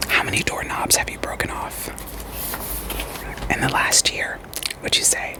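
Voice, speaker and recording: A woman playing a calm, reassuring therapist in an ASMR roleplay, whispering softly and breathily with gentle, deliberate questions. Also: natural voice. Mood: gentle, probing. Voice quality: soft, breathy, mostly unvoiced whisper. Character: calm, reassuring female therapist screening patients for werewolves.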